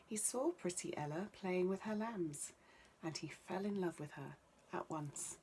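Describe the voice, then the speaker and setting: A woman's voice: on the low side, unhurried, a little mellow, a little hiss or hum, low-quality recording. A woman narrating a children's bedtime story as a storyteller.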